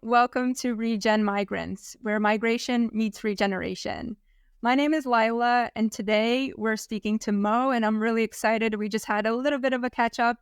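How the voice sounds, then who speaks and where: A woman's voice: fairly high pitch, clear, resonant tone, dry recording, studio quality. A woman hosting an interview podcast, enthusiastic and chatty as she introduces and questions her guest. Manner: enthusiastic, warm, laughs easily. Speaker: podcast host.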